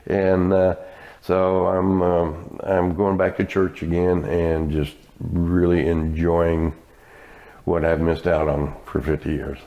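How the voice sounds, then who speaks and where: An older man with a deep voice, a guest telling stories in a casual interview and laughing often. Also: flat delivery, slow, highs cut off. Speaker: interview guest, village staff and volunteer.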